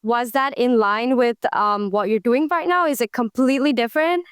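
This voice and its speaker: Brief: enthusiastic woman host from Belgium, fairly high, smooth clear voice. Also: normal speed, no room sound, studio quality. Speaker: podcast host. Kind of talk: interview hosting.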